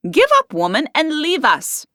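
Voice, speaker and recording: A woman's voice: mid-range voice, sing-song, moderate pace, a bit bright, dry recording, clean studio sound. Woman voicing a prim, fiery pirate captain. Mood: indignant. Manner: crisp, formal and indignant.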